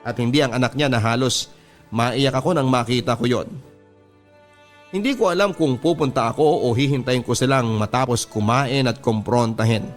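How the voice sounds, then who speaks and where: A man's voice: mid-range voice, normal speed. A man with a Filipino accent reads a first-person letter as a radio drama host, in a sentimental, confessional storytelling manner. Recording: music bed behind the voice. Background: background music.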